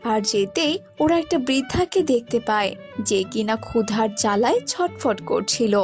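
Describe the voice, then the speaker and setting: A woman's voice: a little high, lively intonation, normal speed, a little mellow, soft background music. A woman reading scripted narration for a children's cartoon story.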